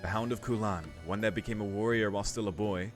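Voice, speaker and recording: A man's voice: on the low side, steady tone, quick pace, faint music behind. A man streaming a visual novel, reading the story text aloud and adding casual, musing commentary with some swearing.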